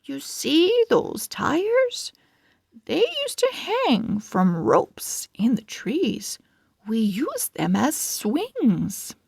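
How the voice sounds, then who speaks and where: A woman reading the part of a kindly elderly woman in a storybook, reminiscing gently and deliberately. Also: high-pitched, wildly swooping pitch, creaky, breathy edge, no echo, studio quality, voice pushed up, full character voice. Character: kindly elderly woman reminiscing about her childhood park.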